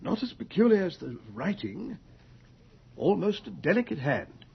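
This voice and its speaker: A man playing a gruff, hesitant old colonel with a husky, gravelly voice in a radio drama. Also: high voice, sing-song, normal speed, heavily acted. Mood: musing, surprised. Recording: muffled old radio recording.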